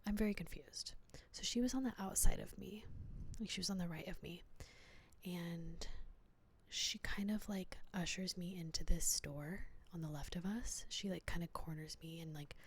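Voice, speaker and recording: A young woman from the Southern United States telling a personal story in a soft, hesitant whisper, full of "like" fillers. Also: on the low side, normal speed, slight background noise. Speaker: vlogger.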